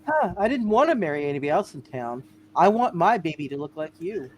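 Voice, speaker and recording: A woman playing a devoted, plain-spoken young wife, gently teasing, in a hammed-up radio play reading. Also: fairly low pitch, very animated, fairly quick, a little hiss or hum. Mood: fond, teasing.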